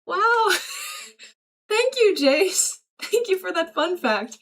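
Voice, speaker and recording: A woman's voice: extremely high pitch, big pitch swings, extremely slow, a bit bright. A woman livestreamer casually reading and answering viewer messages aloud in a slangy, chummy, thankful way. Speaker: livestreamer greeting chat.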